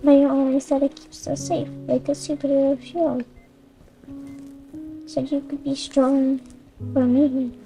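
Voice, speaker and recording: A woman's voice: sits high, flat delivery, unhurried, long pauses, rough recording. A woman voicing a brave, sweet little boy in an audio drama, with innocent, childlike delivery. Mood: innocent, earnest. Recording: soft music behind the voice.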